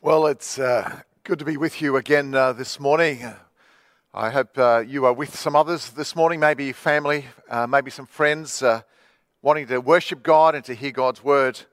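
A man's voice: mid-range voice, moderate pace, no room sound, clean studio sound. A man, a Baptist pastor, preaching a sermon in a chatty storytelling way full of fillers. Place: church livestream.

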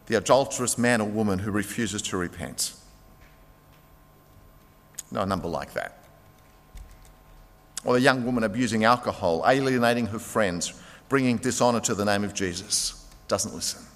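A man's voice: lowish voice, normal speed, pauses often, fairly bright tone. Male preacher with an echoing hall sound. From Greek heritage. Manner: impassioned preaching, rising emphatic calls to act now. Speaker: pastor. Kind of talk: sermon. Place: church sanctuary. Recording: long reverb of a large hall.

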